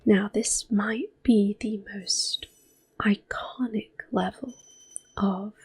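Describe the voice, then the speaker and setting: Female ASMR gaming host, 30s: soft whisper, gravelly fry, slow. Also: mid-range voice, fairly even intonation. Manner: whispered, unhurried, gentle. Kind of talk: soft-spoken ASMR game commentary. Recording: soft game music in background.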